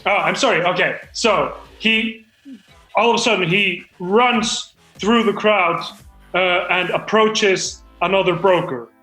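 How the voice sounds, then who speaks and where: A man's voice: sits high, unhurried, sharp tone, roomy sound, soft background music, rough recording. A man reading his screenplay aloud at a comedy table read, stumbling, hesitant and apologetic.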